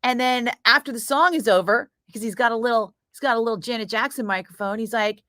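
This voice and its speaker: A middle-aged woman radio DJ chatting casually with viewers between breaks, profane and quick to laugh. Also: on the high side, sharp tone, no room sound, clean studio sound. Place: radio studio.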